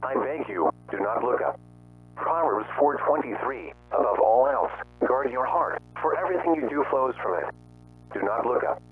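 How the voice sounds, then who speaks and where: Man as ominous broadcast intruder, tinny phone-like radio filter, medium pitch, solemn, pleading, scripted. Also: lively intonation, normal speed, pauses often.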